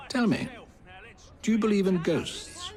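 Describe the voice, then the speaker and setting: An actor playing a genteel Victorian gentleman in a video game, speaking in florid phrases with dramatic pauses. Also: high voice. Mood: wry, courteous. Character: genteel, theatrical Victorian gentleman, a self-declared skeptical ghost investigator. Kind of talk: video game cutscene acting.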